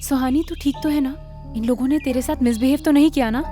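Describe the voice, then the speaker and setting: A woman's voice: high-pitched, fast, dark tone, soft background music, lightly acted. A woman playing a worried, caring young friend in an audio horror drama.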